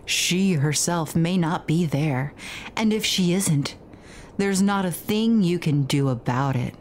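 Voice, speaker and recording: A woman voicing a cryptic ghostly spirit in a horror game, speaking in a measured, formal, portentous way. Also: on the low side, expressive, darkish tone, slight background noise. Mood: grave warning. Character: solemn, cryptic ghostly spirit warning of danger. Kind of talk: reading horror game dialogue aloud.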